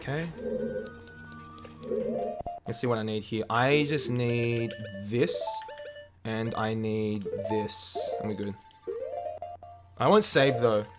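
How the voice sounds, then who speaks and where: A man's voice: medium pitch, takes it slowly, pauses often, music in the background. A young Australian man giving chatty, casual livestream gaming commentary, calling viewers mate and dude. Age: young adult. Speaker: video game speedrun streamer.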